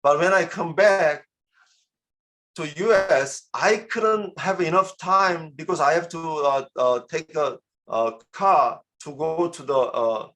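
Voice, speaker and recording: A man's voice: fairly high pitch, a bit bright. Earnest Korean-accented man giving a talk. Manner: earnest, repeats and restarts words, coaxing the audience. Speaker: business seminar speaker. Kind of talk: motivational business talk.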